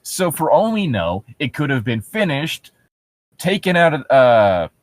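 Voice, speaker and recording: A man's voice: fairly high pitch, big pitch swings, a little slow, dry recording. Sarcastic man, dry close-miked commentary. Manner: sarcastic, incredulous, swears casually. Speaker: co-host reading and riffing on internet horror stories. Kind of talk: casual comedic commentary with read-aloud passages.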